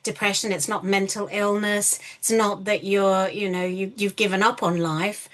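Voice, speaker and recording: A woman's voice: mid-range voice, level tone, moderate pace, low-quality recording. A woman hosting a podcast interview, affirming her guest and often ending points with tag questions. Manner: affirming, many fillers and restarts, frequent tag questions. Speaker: podcast host. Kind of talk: supportive interview chat.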